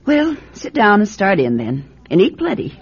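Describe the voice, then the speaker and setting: A woman playing a caring, fussing farm mother in a radio drama, with a brisk, homely way of talking. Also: medium pitch, huge pitch swings, slightly rough, heavily acted. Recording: dull radio recording, faint noise.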